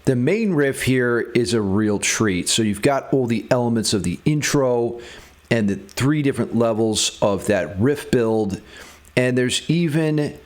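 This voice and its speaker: A man's voice: mid-range voice, expressive, unhurried. A man giving a casual, informal music theory lesson as a guitar instructor, thinking aloud as he demonstrates. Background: electric guitar playing.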